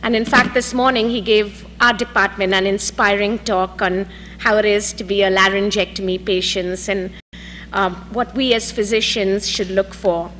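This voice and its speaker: A woman with a mid-pitched voice introduces a guest speaker at a medical lecture. She is formal and measured, with an occasional filler between phrases. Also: steady tone, a bit bright, slight background noise. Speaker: faculty host introducing the speaker. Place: lecture hall.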